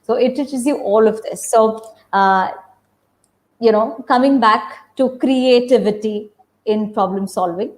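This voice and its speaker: A woman's voice: on the high side, unhurried, a little mellow. A woman theatre practitioner, a guest on a video-call webinar, explaining in an encouraging way. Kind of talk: casual webinar conversation.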